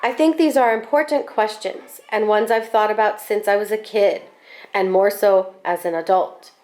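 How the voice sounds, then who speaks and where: A woman reading a children's picture book aloud expressively, with deliberate, animated phrasing and drawn-out words. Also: mid-range voice.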